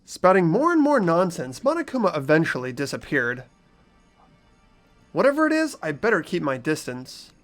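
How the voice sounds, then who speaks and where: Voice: medium pitch, huge pitch swings, moderate pace, soft background music. A performer voicing a wary, bewildered teenage schoolboy who questions things incredulously in a fan-voiced game. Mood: wary, puzzled. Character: bewildered, wary teenage schoolboy protagonist, sarcastic inner narrator.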